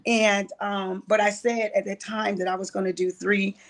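A woman's voice: mid-range voice, flat delivery, moderate pace. A woman in her late fifties, a guest on an interview show, telling her life story in a candid, faith-filled way. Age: 50s. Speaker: guest, writer and producer. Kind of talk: casual interview, testimony.

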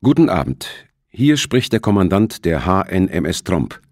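A man's voice: deep voice, very animated, moderate pace, a little mellow, no echo, studio quality, voice pushed up. A man voicing a proud, formal naval commander with a reproving manner in an audiobook thriller.

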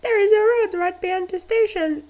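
A woman with a French accent voicing a chatty bearded male store clerk in a game with a high, squeaky put-on voice. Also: normal speed, a little mellow. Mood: warning.

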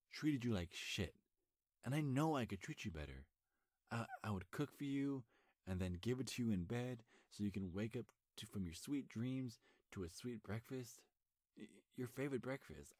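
A young man playing a nervous, lovestruck best friend in an intimate ASMR roleplay, speaking earnestly with a halting stammer. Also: medium pitch, animated, darkish tone, no echo, clean studio sound, lightly acted. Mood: pleading, lovestruck.

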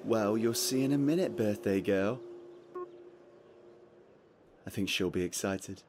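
A troubled, protective young man played by a male actor in a horror video game. His voice sits fairly low as he talks to himself. There is some faint noise behind him. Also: unhurried, a little mellow, natural voice. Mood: fond, pleased. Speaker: game protagonist. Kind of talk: video game voice acting, inner monologue.

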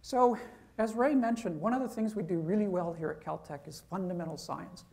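A man's voice: sits high, brisk, gravelly, darkish tone. A man, a professor, giving an informal university lecture, talking conversationally and checking in with "okay?".

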